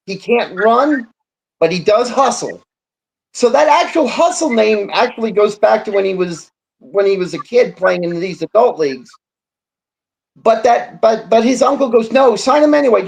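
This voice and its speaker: A talk show voice of middle pitch tells sports stories in a casual way. The delivery meanders, doubling back and slipping into quoted dialogue. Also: vocal fry, husky, low-quality recording. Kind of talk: casual storytelling in sports chat.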